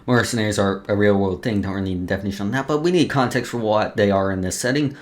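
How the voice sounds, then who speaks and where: A man's voice: on the low side, fast talker. A man doing casual let's play commentary, reading game lore aloud with stumbles, informal swearing and joking asides. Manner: stumbles over read text, joking asides. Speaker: let's player.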